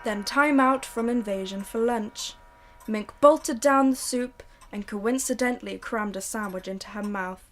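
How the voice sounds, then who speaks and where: Young woman reading radio drama narration, highish voice, steady storytelling. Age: young adult. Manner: measured, storytelling. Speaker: narrator and announcer. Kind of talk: scripted narration in 1940s radio drama style.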